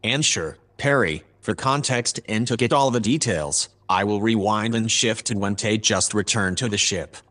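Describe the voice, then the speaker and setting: Man as a calm low-voiced AI narrator. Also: lightly acted. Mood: calm, analytical. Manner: measured, formal, precise. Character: calm analytical AI narrator assistant aboard a spaceship. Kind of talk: audio drama narration.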